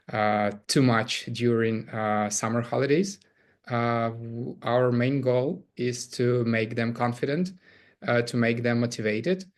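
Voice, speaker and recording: Halting Ukrainian-accented man, flat delivery. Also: medium pitch. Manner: hesitant, many filler pauses. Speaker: camp CEO presenting. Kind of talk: webinar presentation.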